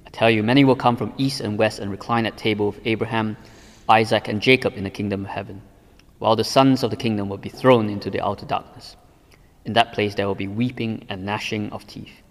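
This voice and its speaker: A man reading the lines of a calm, authoritative teacher in a quiet, grave, slow way. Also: lowish voice, close to an everyday voice. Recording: long reverb, like a large hall.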